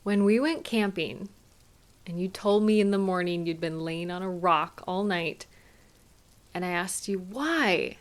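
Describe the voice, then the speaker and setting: Woman acting, loving female partner, intimate audio roleplay, soothing, gently questioning, slow pace. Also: on the low side, lightly acted. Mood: gently chiding. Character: caring, gently chiding partner arriving home to their sweetheart. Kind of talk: intimate scripted audio roleplay addressed to the listener.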